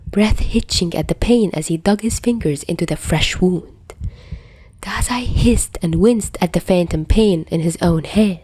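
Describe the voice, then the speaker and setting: A woman reading scripted fiction as a dramatic narrator, often letting phrases fall into a hushed tone. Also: mid-range voice, husky, no echo. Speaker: podfic narrator.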